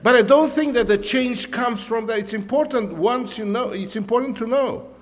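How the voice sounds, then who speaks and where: A man's voice: very high voice, normal speed, long reverb tail. A man whose first language is Romanian, a pastor preaching a conversational sermon in English, full of "you know" and restarts.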